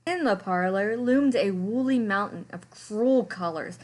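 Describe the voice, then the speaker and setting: A woman narrates a children's picture book at a mid-range pitch. She reads in an animated storytime style, leaning on the descriptive words for drama. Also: unhurried. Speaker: librarian reading storytime. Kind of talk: expressive picture-book read-aloud.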